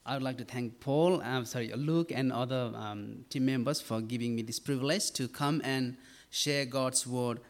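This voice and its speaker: A young man from Nepal giving a church ministry talk and sermon, earnest and halting, with many fillers and restarts. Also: mid-range voice. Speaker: campus ministry worker.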